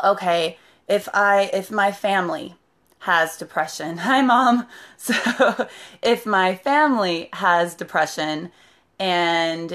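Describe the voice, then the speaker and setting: A woman in her thirties talks to her live viewers from her living room, her voice raspy and hoarse from shouting. Her pitch sits on the low side. She chats freely, breaking off to greet people by name. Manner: chatty, greets viewers by name mid-sentence. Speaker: therapist. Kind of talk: casual live-stream talk to viewers. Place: living room with sofa, indoors. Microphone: phone camera at arm's length.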